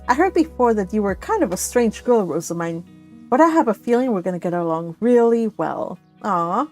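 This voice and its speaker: A millennial woman hosting a book read-along livestream, chatting casually with viewers in an animated, giggly, exclaiming way. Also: medium pitch. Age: 30s to early 40s. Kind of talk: casual live chat with viewers.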